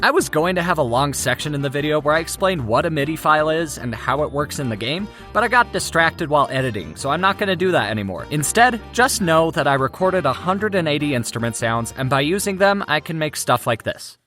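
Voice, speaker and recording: High, animated male video narrator. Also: fairly quick, few pauses. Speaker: video creator narrating his own project. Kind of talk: scripted comedic video narration. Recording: music under the voice. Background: background music.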